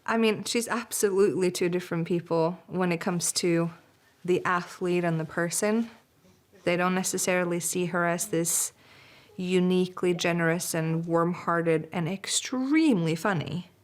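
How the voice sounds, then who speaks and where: Woman, close friend, emphatic, warm, lowish voice. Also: normal speed. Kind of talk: documentary interview.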